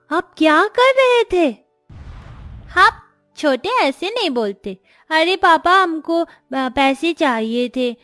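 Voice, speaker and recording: A woman's voice: high voice, fairly bright tone, no echo, studio quality. A woman voicing a whiny, demanding young schoolchild who pleads with parents in a moral-story cartoon. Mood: pleading.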